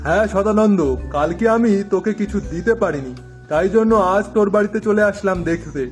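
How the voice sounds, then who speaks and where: A man voicing an authoritative but obliging village headman in an animated folk tale, with a husky voice. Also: sits high, fairly quick, faint music behind, lightly acted. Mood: kindly.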